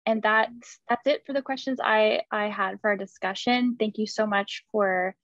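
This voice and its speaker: A woman's voice: medium pitch, steady tone, moderate pace, a bit bright, no room sound. Woman, panel moderator, friendly, lots of ums, muffled dry audio. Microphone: video call.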